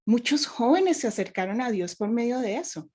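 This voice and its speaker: A woman's voice: a little high, lively intonation, normal speed, a little mellow. A woman raised in Colombia, a youth minister, giving earnest advice and telling personal stories in a panel discussion.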